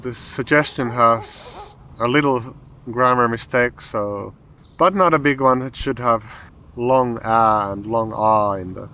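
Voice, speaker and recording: A man with a Finnish accent, a language teacher calmly explaining an app as he tries it, thinking aloud with pauses. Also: mid-range voice, a little hiss or hum. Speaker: language teacher and channel host. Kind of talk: explaining an app while trying it.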